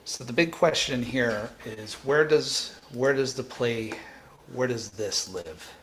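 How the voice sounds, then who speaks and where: A man hosting a live coding tutorial, thinking aloud in a halting way and repeating phrases as he reasons. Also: medium pitch, slight background noise. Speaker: programming tutor and livestream host.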